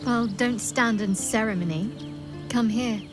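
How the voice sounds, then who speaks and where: An actress playing an affectionate, softly teasing lover in an intimate video game scene, gentle and halting. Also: female voice, a little high, music in the background, lightly acted. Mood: tender, playful.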